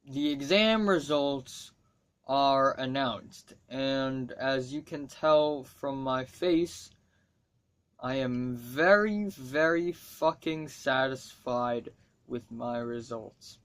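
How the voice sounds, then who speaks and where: A man's voice: mid-range voice, extremely slow, fairly bright tone, clean tone. A teenage boy from Hong Kong whose first language is Cantonese talks casually to camera, rambling, swearing freely and making wry asides. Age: teens. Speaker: student vlogger.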